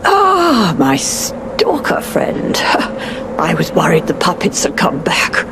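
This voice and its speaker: An actress playing a wary, haunted woman in a video game cutscene, speaking in a halting, dramatic way. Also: fairly low pitch, wildly swooping pitch, exaggerated voice. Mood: wary, uneasy. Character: wary, haunted woman who fears demonic puppets. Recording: noisy ambient background.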